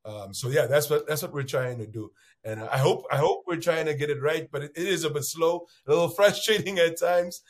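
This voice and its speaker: A man from Namibia, a guest on an interview show, speaking loudly and telling stories with quoted voices. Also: fairly high pitch, brisk. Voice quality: loud voice. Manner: acts out bar conversations, lively quoted voices. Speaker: bitcoin entrepreneur guest. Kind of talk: animated interview storytelling.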